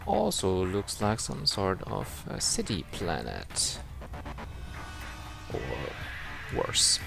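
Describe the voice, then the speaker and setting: A man giving casual, halting commentary over gameplay, repeating himself. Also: low-pitched, wildly swooping pitch, vocal fry, music in the background.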